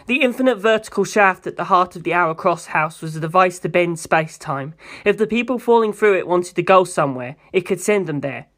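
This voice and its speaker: A narrator with a mid-pitched voice reads a novel aloud in the first person. The delivery is that of scripted storytelling, carried line by line as an amateur audiobook. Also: animated, fairly quick, few pauses, a bit bright.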